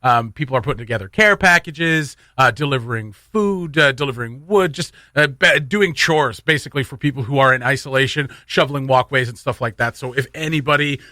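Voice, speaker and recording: A man hosts a casual morning radio show in a bright, forward voice at an average pitch. He rambles and jokes his way through local announcements. The sound is dry and close, like a studio. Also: a little fast, few pauses, no echo. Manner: joking, loose and rambling.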